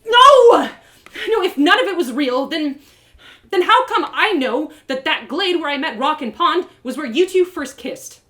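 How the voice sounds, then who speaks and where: Woman voicing an excitable, high-pitched, desperate young heroine. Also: unhurried, heavily acted. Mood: desperate, pleading. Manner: stammering, urgent, repeats words. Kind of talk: storybook character voice in a live reading.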